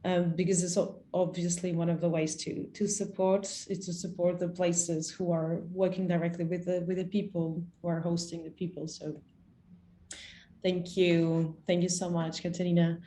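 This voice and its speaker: Low, mellow woman moderating a call. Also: flat delivery, moderate pace, a little hiss or hum. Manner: friendly, thanking speakers. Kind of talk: online panel moderating.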